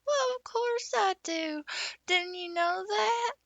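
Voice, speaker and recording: A woman voicing a tearful, devoted young girl who pleads and sobs in a high voice. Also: slow, fairly bright tone, no room sound, lo-fi sound, exaggerated voice.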